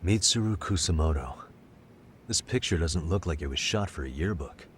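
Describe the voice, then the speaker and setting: An actor playing a calm, sharp detective in an English game dub, measured and thoughtful as he reasons through a case. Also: deep voice, a little hiss or hum. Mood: analytical. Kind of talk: English video game dub cutscene acting.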